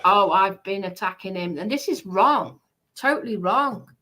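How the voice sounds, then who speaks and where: A woman's voice: medium pitch, expressive, unhurried, bright, forceful tone. A woman giving a heated personal account on a livestream, speaking in an indignant, emphatic way and repeating herself for stress.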